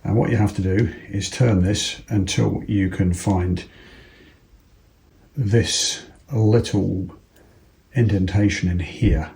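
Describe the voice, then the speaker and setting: A man's voice: low-pitched, steady tone, slow pace, creaky, pauses often, darkish tone. A British man presenting a casual step-by-step installation demonstration, talking informally and unhurriedly as if thinking aloud. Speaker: presenter of a product installation tutorial.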